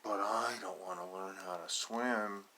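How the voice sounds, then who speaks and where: A man voicing a stubborn, grumpy little duckling in a picture book, with drawn-out quacking protests. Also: lowish voice, fairly even intonation, pushed and bright, a little hiss or hum.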